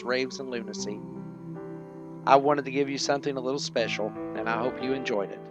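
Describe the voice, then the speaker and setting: Quiet, earnest male show host over music. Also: medium pitch, muffled recording. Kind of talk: sincere sign-off to listeners.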